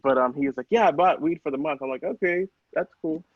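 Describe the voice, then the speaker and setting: A man with a fairly high voice chats casually in a rambling, filler-heavy way. The sound is close and dry, though slightly muffled. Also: fast, no echo. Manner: rambling, peppers talk with "like". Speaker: podcast cast member. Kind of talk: casual chat.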